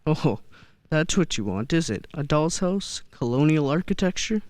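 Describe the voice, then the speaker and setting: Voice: mid-range voice, sing-song, moderate pace, no room sound, close to an everyday voice. Someone playing a kindly, grandfatherly old man, close dry sound. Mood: curious, tender. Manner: gentle, hesitant, repeats words, grandfatherly. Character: kindly old man who believes he is Santa. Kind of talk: stage-style radio play acting.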